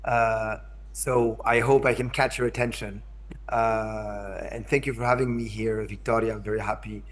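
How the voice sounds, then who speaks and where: A man's voice: on the low side, little pitch movement, moderate pace. A man from France with a French accent, giving a hesitant lecture over a video call, often repeating words and checking in with his listeners. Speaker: invited speaker, curator. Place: in São Paulo, remote.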